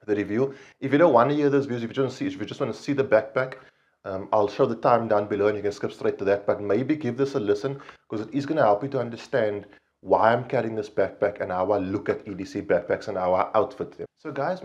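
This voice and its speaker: A man's voice: mid-range voice, a little mellow, muffled recording. A man, slightly nasal from a cold, earnestly explaining his gear to the camera in a casual review. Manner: earnest and explanatory, frequent ums, hand gestures. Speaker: vlog host reviewing gear. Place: small indoor room against a plain wall.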